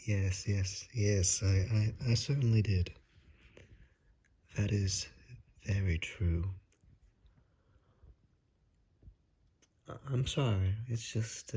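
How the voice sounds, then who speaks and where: A man voicing a courteous, ingratiating vampire visitor in an ASMR roleplay, softly and often in a whisper, with polite, old-fashioned, hesitant and flattering speech. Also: fairly low pitch, exaggerated voice. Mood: flustered, hesitant. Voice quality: soft, breathy, often whispered.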